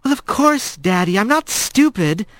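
A man's voice: sits high, very animated, a little slow, a bit bright, no room sound, voice pushed up, exaggerated voice. A man voicing a spoiled, indignant young girl mouse who whines and pleads. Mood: sulky. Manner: whiny, pleading.

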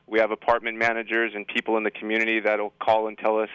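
A man's voice: fairly low pitch, monotone, quick pace, a bit bright, smooth, no room sound, lo-fi sound. A man speaking as a school administrator guest in an interview, explaining things in an assured way. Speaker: high school assistant principal guest. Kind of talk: interview answers.

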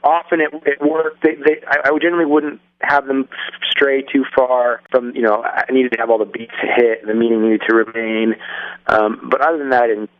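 A man's voice: medium pitch, steady tone, moderate pace, no room sound. A young man, a filmmaker, answering interview questions on a phone line, thoughtful and full of restarts. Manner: thoughtful, many restarts and fillers. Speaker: filmmaker guest. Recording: phone-line sound, dry.

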